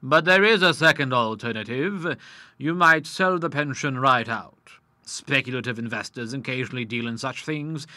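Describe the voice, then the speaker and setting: Man voicing a genial old lawyer, learned, amiable, bright crisp tone, dry sound. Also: mid-range voice, moderate pace, dry recording. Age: older. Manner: genial, fond of Latin tags.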